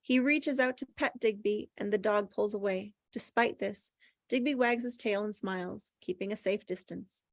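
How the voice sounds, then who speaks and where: A woman's voice: mid-range voice, moderate pace, no room sound. A woman with a mid-range voice reads screenplay directions aloud. The delivery is scripted narration for a table read, and the sound is dry with little room in it. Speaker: stage directions reader.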